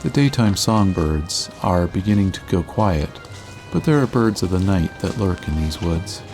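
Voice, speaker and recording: A man with a fairly low voice speaks as the host of a nature audio show. His delivery is measured and explanatory, like a guide narrating from the field. Music plays clearly behind him. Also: animated. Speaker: birding podcast host.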